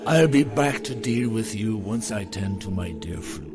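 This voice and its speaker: An ancient, evil piper speaks slowly over prominent music. He is vengeful and obsessive, drawing out his words with theatrical menace in audio drama fashion. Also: fairly low pitch, lively intonation. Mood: menacing. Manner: theatrical menace, drawn-out threats. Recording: music under the voice.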